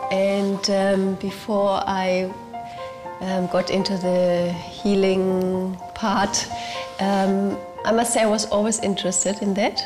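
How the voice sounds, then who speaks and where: A woman, speaking in an interview about her work as a therapist, pausing often with fillers. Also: medium pitch, level tone. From Germany. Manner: hesitant, with many fillers. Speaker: interviewee, family constellation facilitator. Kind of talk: reflective interview. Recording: music bed underneath.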